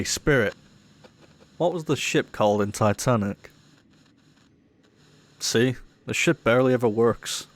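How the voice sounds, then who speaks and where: A man's voice: mid-range voice, close to an everyday voice. A man playing a cocky, irreverent ghost hunter in an audio roleplay, with a teasing, offhand manner. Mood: dismissive, teasing. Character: cocky, irreverent male ghost hunter showing a rookie around. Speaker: paranormal investigator character.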